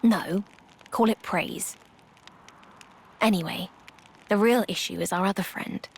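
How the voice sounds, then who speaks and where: An actress playing a composed, wise and formal travelling companion in a video game cutscene, speaking in measured, articulate phrases. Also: female voice, mid-range voice, vocal fry, breathy edge. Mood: calm, reflective.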